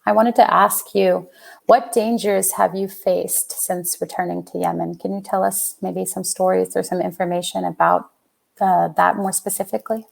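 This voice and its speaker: Woman co-host, low voice, heavy vocal fry, polite questions. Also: steady tone, normal speed. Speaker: podcast co-host. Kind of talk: live podcast interview.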